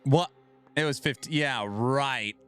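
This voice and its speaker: A man's voice: medium pitch, slow pace, a bit bright, soft background music. A man streaming live, joking and bantering with his chat in a mock-defensive way. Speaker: streamer reacting to a video.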